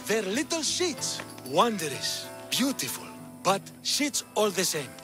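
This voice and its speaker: High-voiced shifty Greek swindler. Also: male voice, wildly swooping pitch, takes it slowly, exaggerated voice. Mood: fond, exasperated. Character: shifty, fussy middle-aged male Greek trial swindler. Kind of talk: video game cutscene acting. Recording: music behind the voice.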